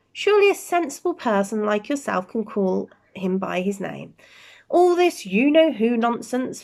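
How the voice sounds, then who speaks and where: A woman voicing a calm, kindly old male wizard professor in a storybook, courteous and measured. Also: on the high side. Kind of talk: storybook character reading.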